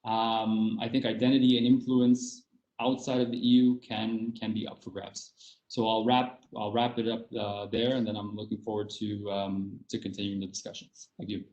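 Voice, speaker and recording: A man giving a measured academic panel talk, pausing on fillers and restarting phrases. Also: fairly low pitch, flat delivery, a little mellow. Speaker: panel speaker. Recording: muffled roomy sound.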